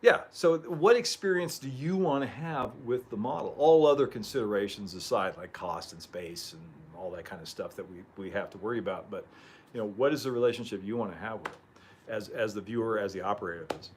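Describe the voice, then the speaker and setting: A man hosting a casual livestream chat, rambling and chuckling, clearing his throat as he loses his voice. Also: fairly low pitch, lively intonation, brisk, a little hiss or hum. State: losing his voice. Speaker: livestream host.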